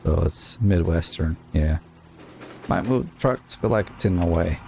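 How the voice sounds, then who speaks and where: Deep gravelly man chatting over a game. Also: a little slow, darkish tone, a little hiss or hum. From Utah. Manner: chatty, muttering at the game, rambling asides. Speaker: gaming YouTuber. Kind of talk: casual let's-play commentary.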